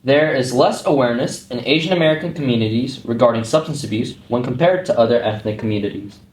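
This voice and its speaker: A man reading survey statements aloud in a plain, even, scripted delivery without fillers. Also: mid-range voice, fast talker. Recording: roomy echo, faint noise.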